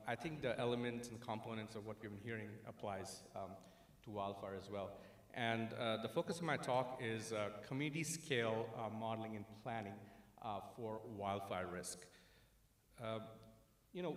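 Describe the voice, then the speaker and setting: A man from India giving a conference talk at a podium in a large auditorium, measured and explanatory with many fillers. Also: fairly low pitch. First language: an Indian language. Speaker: conference speaker. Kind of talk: conference presentation. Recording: roomy hall with long reverb.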